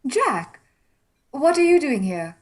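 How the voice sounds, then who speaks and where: A woman's voice: high voice, very animated, slow pace, full character voice. A woman playing a wistful, reserved young Indian woman far from home in a period radio mystery, polite and guarded. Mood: polite, hopeful.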